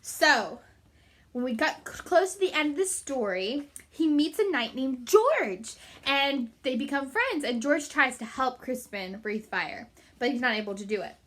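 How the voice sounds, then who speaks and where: Lady reading to kids, high bright voice, animated and chatty. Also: measured pace. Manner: animated, emphatic, addressing young listeners. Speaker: storyteller reading to children. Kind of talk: children's read-aloud with chatty asides.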